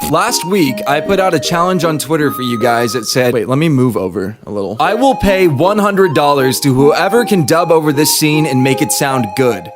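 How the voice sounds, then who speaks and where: A man's voice: a little high, expressive, moderate pace, hardly any pauses, music in the background. A man hosting casual reaction commentary and judging auditions in a playful, candid, teasing way. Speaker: video host judging voice auditions.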